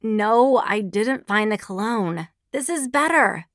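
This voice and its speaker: A woman's voice: medium pitch, moderate pace, dry recording, studio quality, close to an everyday voice. A woman voicing a bossy, teasing young woman friend on the phone in an audiobook. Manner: teasing, pushy.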